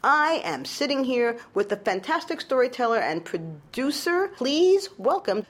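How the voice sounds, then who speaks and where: A woman hosting a community radio show, bubbly and animated as she introduces songs and guests. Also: high voice, a little slow. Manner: bubbly and animated, cheering and gushing.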